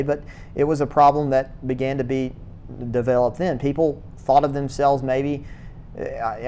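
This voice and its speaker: A man lecturing on church history in a classroom, his pitch sitting in the middle range. He talks haltingly, repeating words and stopping for uh and um as he thinks. A faint low rumble hums behind him. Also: a little mellow.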